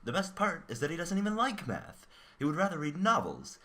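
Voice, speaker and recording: A man acting as an overworked, excitable robotics researcher in a radio play, informal and gossipy. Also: a little high, fairly quick, exaggerated voice. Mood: agitated, chatty. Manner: animated, exclaiming, informal.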